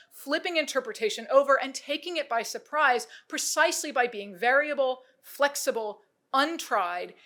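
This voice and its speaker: A woman delivering a prepared academic lecture at a symposium, with a measured, rhetorical cadence and pointed lists. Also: high-pitched, a bit bright. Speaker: symposium speaker. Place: museum lecture room.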